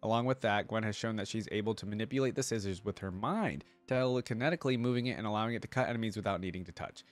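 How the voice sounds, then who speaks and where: A man hosting a reaction video, reading aloud and commenting informally as he thinks out loud for viewers. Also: lowish voice, racing, hardly any pauses.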